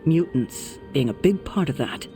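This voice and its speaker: An actor playing a composed, authoritative leader of a superhero team, speaking in a measured, formal, articulate way in a dramatic video game. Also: male voice, a little high, animated, dark tone. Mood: grave, informative. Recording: music behind the voice.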